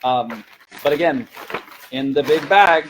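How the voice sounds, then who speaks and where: A man's voice: on the high side, slow, noisy background. A man who teaches languages, presenting practical classroom advice in an informal, conversational way.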